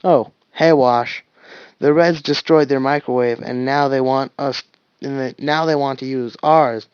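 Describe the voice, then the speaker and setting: A man's voice: medium pitch, fairly even intonation, a little slow, darkish tone, clear, resonant tone, dry recording, muffled recording, close to an everyday voice. A man voicing a bored, lazy soldier who talks in a dismissive, irritable way.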